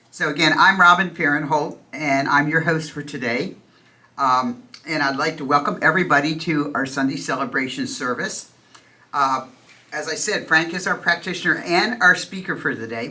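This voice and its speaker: A woman's voice: sits low, bright, forceful tone. A woman hosting a church service at a stand microphone, chatty and self-deprecating, with loose fillers. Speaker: host. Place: church meeting room.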